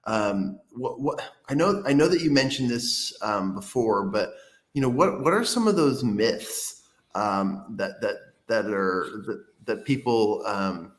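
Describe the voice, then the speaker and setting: A man's voice: on the low side, lively intonation. Rambling man host in an echoey room. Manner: rambling, halting, many restarts and fillers. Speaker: podcast host. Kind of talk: casual interview.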